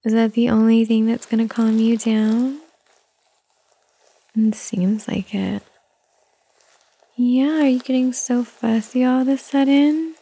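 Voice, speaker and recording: A woman doing a whispered ASMR roleplay, talking softly and soothingly in a gentle whisper. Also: on the high side, fairly even intonation, slow, long pauses. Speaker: ASMR performer.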